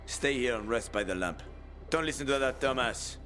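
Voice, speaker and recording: A man's voice: medium pitch, normal speed, close to an everyday voice. A man playing a brash, foul-mouthed middle-aged survivor in a video game cutscene, pushy and urgent. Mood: subdued. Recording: loud music over the voice.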